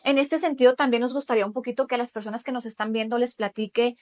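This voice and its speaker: Female interviewer, pitch a bit above average, conversational and affirming. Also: fairly even intonation, fast talker. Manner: affirming, short backchannels.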